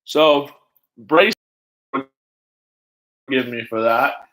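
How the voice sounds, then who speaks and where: A man hosting a livestream in casual chat, rambling haltingly and jumping between asides as he talks to viewers. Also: medium pitch, bright, forceful tone, poor audio. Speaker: livestream host.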